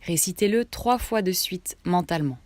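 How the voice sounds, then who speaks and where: A woman guiding a relaxation meditation, giving instructions in short phrases with pauses between them. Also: fairly low pitch, animated, darkish tone, no room sound. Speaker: yoga nidra meditation guide.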